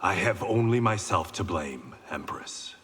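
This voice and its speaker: A wry, proud warrior of a fighting game, with a low voice that swings expressively. His lines are terse and guarded, set in a long hall reverb. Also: animated, a little slow, a bit bright, slight background noise. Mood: dry, guarded. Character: proud, wry blind swordsman, former gangster. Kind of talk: video game fight banter.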